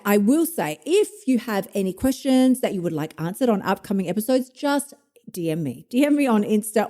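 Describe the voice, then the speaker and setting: A woman's voice: medium pitch, mellow. A woman in her fifties hosting a solo podcast, talking bluntly, swearing freely and laughing at herself as she coaches listeners. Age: 50s. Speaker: podcast host, motivation coach.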